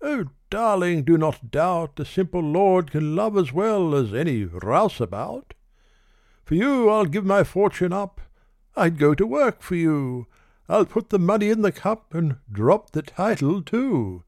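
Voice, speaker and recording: A man's voice: high voice, big pitch swings, unhurried, a little mellow, dry recording, clean studio sound, pitched above the performer's own voice, heavily acted. A man voicing an ardent young shearer posing as a lord, wooing in a declamatory manner in a recited ballad.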